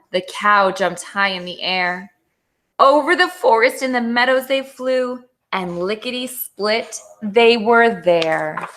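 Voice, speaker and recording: A woman teacher reading a children's storybook aloud with expressive narration. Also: on the high side.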